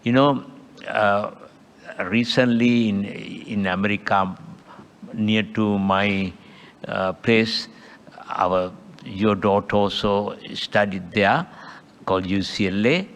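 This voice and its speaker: Slow older monk with a Sri Lankan accent. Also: male voice, on the low side, fairly bright tone. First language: Sinhala. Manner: halting, broken English, rhetorical "huh?". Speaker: Buddhist monk giving a dhamma talk. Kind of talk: informal dhamma talk with questions. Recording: long hall reverb.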